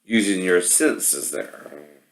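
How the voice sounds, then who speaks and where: An echoey home-video recording of a man with a fairly low voice. He talks casually and rambles through a product demonstration like a vlogger. He keeps checking in with a short "right?" at the end of his statements. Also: expressive, slow. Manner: often tags statements with "right?". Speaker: vlogger showing product assembly problems.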